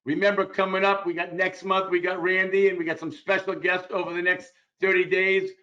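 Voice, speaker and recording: A man's voice: sits high, flat delivery, brisk, sharp tone. A man hosting, casually making promotional announcements to wrap up, over lo-fi audio. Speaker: host. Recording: dull, lo-fi audio.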